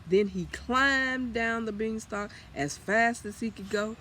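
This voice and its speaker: A man with a fairly high voice reads a children's story aloud. He takes it slowly and expressively, as a storyteller would, with a little noise in the background. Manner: expressive, with acted character lines.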